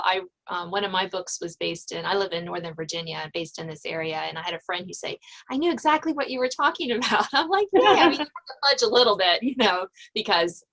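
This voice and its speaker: An American woman hosting a casual interview, informal and somewhat halting as she works her way through questions and comments. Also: mid-range voice, fairly bright tone, poor audio. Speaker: podcast host.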